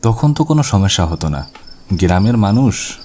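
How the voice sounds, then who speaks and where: A man's voice: on the low side, sing-song, normal speed, warm tone, slight background noise. A man playing an eager, sceptical young nephew in cartoon ghost story dialogue. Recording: music bed behind the voice.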